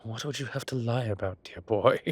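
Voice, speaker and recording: A man voicing an old, fatherly, whimsical boss, fond and gently insistent, with theatrical old-fashioned phrasing in an audio roleplay drama. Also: medium pitch, fairly quick, husky, no echo, natural voice. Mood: fond, concerned.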